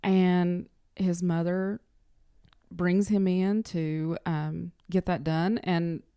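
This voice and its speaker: A woman's voice: lowish voice, little pitch movement, very slow, mellow, smooth, no room sound, clean studio sound. A woman chatting casually as an interview guest, giving encouraging advice in earnest, emphatic short statements. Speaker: interview guest, clinic front-office worker.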